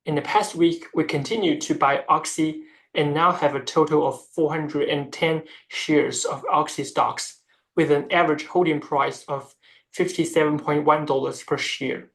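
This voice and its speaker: Measured, formal finance narrator. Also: mid-range voice, fairly even intonation, a bit bright. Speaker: investment video host.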